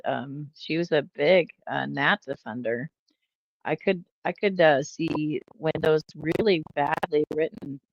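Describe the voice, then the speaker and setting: A woman's voice: sits low, no echo, highs cut off. A woman hosting casual reaction commentary, blunt and mocking, often trailing off with fillers. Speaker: commentary host reacting to clips.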